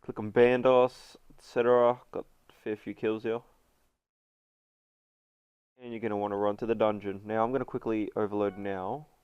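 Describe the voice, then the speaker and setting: A stuffy-nosed man talks through a gaming tutorial in a loose, rambling way. His voice sounds smooth and very dry, right up on the mic with no room sound. The top end is a little dull. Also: fairly low pitch, steady tone, moderate pace, pauses often, no echo. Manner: chatty, many fillers. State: congested, runny nose. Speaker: gaming video host. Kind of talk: casual game guide voice-over.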